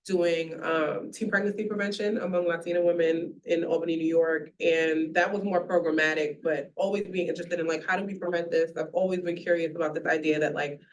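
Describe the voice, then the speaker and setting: A woman panelist speaking in a lively, wry way. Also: fairly low pitch, fairly quick, few pauses, distant mic, slight background noise, rough recording. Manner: lively, wry, self-described contrarian. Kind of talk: panel discussion.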